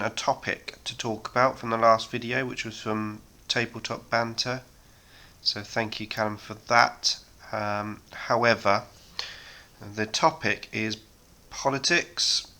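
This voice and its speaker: Guy in his 30s, low voice, hoarse from a sore throat, ums a lot while painting. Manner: relaxed, rambling, many ums. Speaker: hobby painting channel host. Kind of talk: casual chat while painting.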